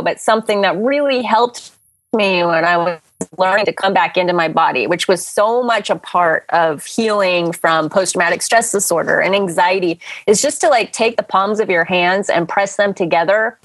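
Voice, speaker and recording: A woman's voice: medium pitch, moderate pace, no echo. Chatty woman in her forties, dry mic. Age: 40s. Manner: warm, chatty, laughs often. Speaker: podcast guest. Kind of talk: casual interview chat.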